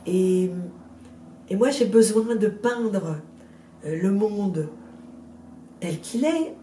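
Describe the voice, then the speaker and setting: A woman in her sixties with a cold, chatting in an interview about her first novel, hesitant with many fillers and restarts but lively in bursts. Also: medium pitch, drawn-out words, roomy sound, recorded from a distance, a little hiss or hum. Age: 60s. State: has a cold. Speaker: guest, novelist. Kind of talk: casual interview chat.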